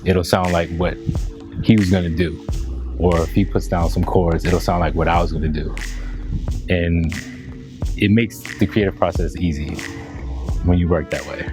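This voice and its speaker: A very low-pitched American man talks casually as a musician being interviewed. He is laid-back and conversational, dropping in slang. Music plays clearly behind his voice. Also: fairly even intonation, moderate pace, long pauses. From Washington, D.C. area, United States. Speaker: musician and producer in a band.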